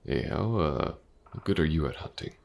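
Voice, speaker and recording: A man playing an elven mercenary companion in an intimate fantasy audio roleplay, patient and gentle, with a hesitant, casual way of talking. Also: expressive, heavily acted. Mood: friendly, encouraging. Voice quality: very deep, gravelly. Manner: hesitant, soft-spoken, casual, with halting pauses.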